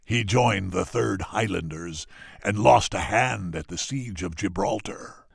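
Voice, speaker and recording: Pirate chronicler, heavy fry, husky, dramatic declaiming for a game. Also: male voice, fairly low pitch, a little slow, no echo, studio quality, exaggerated voice. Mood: grim, declamatory. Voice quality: raspy, gravelly. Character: theatrical pirate ship chronicler reading crew records.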